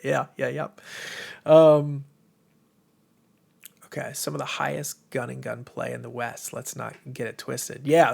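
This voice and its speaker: A heavily creaky, gravelly American man hosts a casual livestream. His voice sounds worn and a little hoarse, as if it is giving out. He sits at a medium pitch and talks in an informal, rambling, enthusiastic way to his viewers. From United States. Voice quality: tired, strained voice. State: voice going, slightly hoarse. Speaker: livestream host, game publisher.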